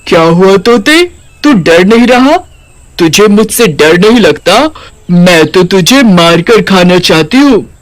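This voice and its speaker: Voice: medium pitch, lively intonation, takes it slowly. A performer voicing a hungry, blunt, boastful but secretly kind-hearted cartoon witch with brash, colloquial delivery. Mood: gleeful, menacing. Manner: brash, taunting, colloquial scolding. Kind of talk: Hindi cartoon character voice.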